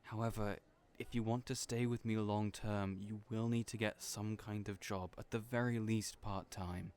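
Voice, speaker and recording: A man with a somewhat low voice speaks gently and hesitantly in an audio roleplay, often stammering and trailing off. His delivery stays quiet and reserved. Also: level tone, moderate pace. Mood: gentle. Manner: soft-spoken, hesitant, stammering.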